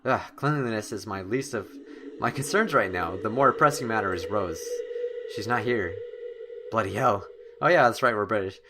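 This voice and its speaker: A man's voice: lowish voice, moderate pace. Man doing let's-play commentary over noisy background. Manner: expressive, dramatic reading with reactive asides. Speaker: gamer, let's-play host. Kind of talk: let's-play commentary, reading game text aloud.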